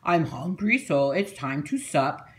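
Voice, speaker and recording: Storybook oaf, performed by a woman: big careless young fellow, lowered pitch, dragging drawl, eager and dim. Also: big pitch swings, a little slow. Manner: drawling, dopey. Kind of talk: children's storybook character voice.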